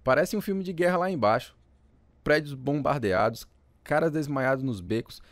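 A man's voice: mid-range voice, expressive, normal speed, no echo, natural voice. A man playing an agitated, earnest teenage boy in a radio drama. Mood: uneasy.

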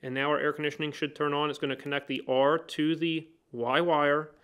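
A man's voice: a little high, level tone, a little fast, fairly bright tone, clean tone. A man teaching HVAC basics, explaining each step plainly and working through a hands-on bench demonstration. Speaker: HVAC trainer. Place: indoor workbench.

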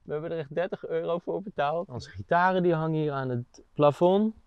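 A young Dutch man giving a relaxed, chatty tour of the camper van he lives in, with many hesitations. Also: a little high, a little fast, clear, resonant tone, no room sound, muffled recording. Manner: relaxed, hesitant with many fillers, laughs often. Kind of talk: casual tour chat. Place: inside a small camper van, outdoors by it.

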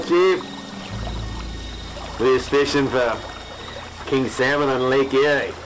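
A man's voice: medium pitch, natural voice, poor audio. A man playing a grizzled fisherman in a parody radio drama, telling his grim tale in a folksy, grave way. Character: grizzled veteran muskie fisherman recounting a grim ordeal, spoofing a salty sea hunter.

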